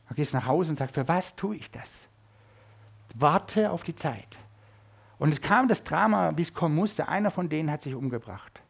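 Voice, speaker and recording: A middle-aged man from Germany, preaching a sermon at a church service and swinging between loud emphasis and quiet asides. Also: on the high side.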